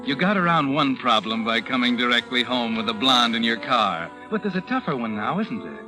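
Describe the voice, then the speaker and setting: Over clear background music, a man acts as an ominous, all-knowing narrator of a vintage radio mystery. His voice is mid-pitched and insinuating, sardonically needling the character he addresses. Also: big pitch swings, fairly quick, natural voice. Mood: taunting. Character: taunting, omniscient, ominous male narrator of crime tales. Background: dramatic music.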